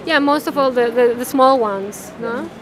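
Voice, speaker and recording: A woman, talking haltingly and thoughtfully about her artwork, often tagging phrases with "no?". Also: high-pitched. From Mexico. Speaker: artist. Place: large indoor art fair hall. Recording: crowd chatter in a busy hall.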